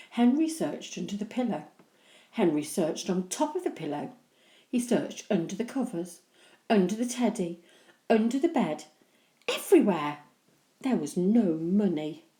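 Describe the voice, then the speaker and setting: Woman reading a kids story, slow n really animated, echoey room. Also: mid-range voice. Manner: animated, deliberate. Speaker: children's story reader. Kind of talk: expressive storybook narration.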